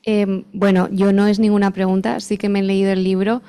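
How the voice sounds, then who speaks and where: A woman from Spain in the audience, giving a soft and hesitant comment with many fillers. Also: mid-range voice, flat delivery, brisk, clean tone. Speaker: audience member. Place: library event room.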